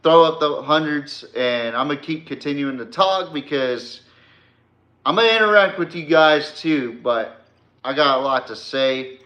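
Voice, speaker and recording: A man's voice: fairly high pitch, measured pace, bright, forceful tone. A man hosting a live sports podcast, talking casually and emphatically, as an opinionated fan who swears freely. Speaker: podcast host.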